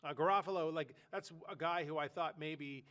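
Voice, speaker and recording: A man's voice: a little high, normal speed, a bit bright. A man co-hosting a sports podcast, nasal from a bad cold, talking casually with lots of fillers and restarts.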